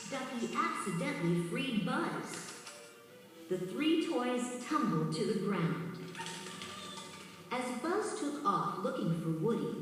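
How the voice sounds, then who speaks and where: A woman reading scripted children's storybook narration as the narrator of a read-along. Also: medium pitch. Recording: very echoey distant hall, music underneath.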